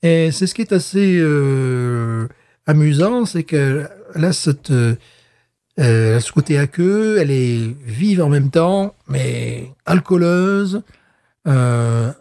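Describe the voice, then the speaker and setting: A man's voice: fairly high pitch, expressive, slow pace, warm tone. A man co-hosting a podcast, tasting a beer in casual chat, hesitant with many fillers and restarts. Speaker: podcast co-host.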